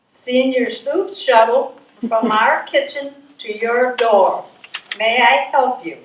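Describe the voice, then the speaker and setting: A woman's voice: a little high, takes it slowly. A woman playing a polite, apologetic but unhelpful soup charity worker in a readers theatre performance. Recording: echoey distant stage mic, background noise.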